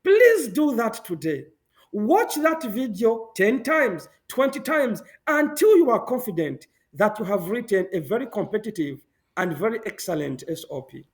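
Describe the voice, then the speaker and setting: Interview guest from Zambia, male, young adult, high voice, lively and emphatic delivery. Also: normal speed. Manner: animated, laughs often, emphatic repetitions. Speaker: guest, public health professional. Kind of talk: conversational interview answers.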